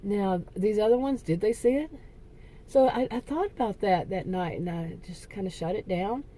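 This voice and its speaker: A middle-aged woman talking in a rambling, halting personal vlog, correcting herself often, her voice getting worn. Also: medium pitch, warm tone. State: losing her voice. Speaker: vlogger. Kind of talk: casual personal vlog monologue.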